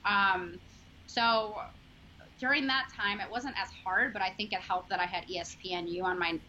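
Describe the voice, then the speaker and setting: Woman, 30s, Chicago accent, bright tone, earnest interview guest, dull recording. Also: medium pitch, steady tone, normal speed. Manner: chatty, filler-heavy, earnest. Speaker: sports journalist guest. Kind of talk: casual interview chat. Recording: dull lo-fi audio, faint noise.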